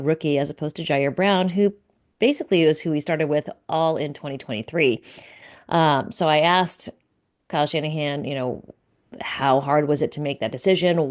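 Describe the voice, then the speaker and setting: A woman reporter casually recapping team news, relaying coach quotes and reading out player stats with frequent fillers. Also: sits low. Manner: conversational, many fillers, reads out stats and quotes. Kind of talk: casual solo recap to camera.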